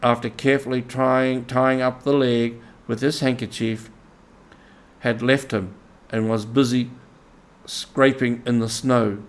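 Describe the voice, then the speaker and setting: Guy reading a storybook out loud, narrator style, takes it slow, medium pitch. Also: flat delivery. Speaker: audiobook narrator.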